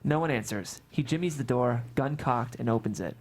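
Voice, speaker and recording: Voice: medium pitch, moderate pace, darkish tone. A narrator reading scripted stage directions at a table read of a screenplay.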